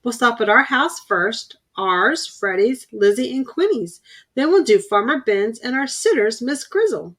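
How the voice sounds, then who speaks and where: A woman voicing an eager, excitable young boy bear cub from a children's storybook. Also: fairly high pitch, unhurried, exaggerated voice.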